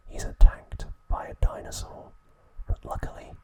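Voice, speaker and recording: A man narrating an ASMR trivia recap in a breathy, hushed whisper, speaking in short, measured phrases. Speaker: ASMR host.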